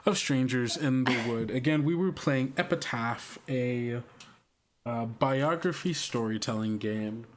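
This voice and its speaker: A man's voice: medium pitch, slight background noise. A man speaking as game master and podcast host, chatting casually and informally with players and listeners. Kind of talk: casual podcast sign-off and chat.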